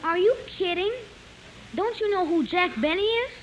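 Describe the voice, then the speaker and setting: High-pitched bossy little boy. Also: moderate pace. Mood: earnest. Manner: officious, pompous. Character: bossy young boy, self-important club president. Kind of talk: 1950s radio comedy acting. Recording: noisy clicking old 1950s radio recording.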